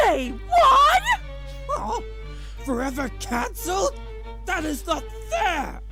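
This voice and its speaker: A spoiled cartoon pony, outraged and melodramatic. The voice is extremely high, swoops wildly and drags each word out almost into a shout, over music. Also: female voice, huge pitch swings, drawn-out words, long pauses, heavily acted. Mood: indignant. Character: spoiled, indignant, sore-loser cartoon pony.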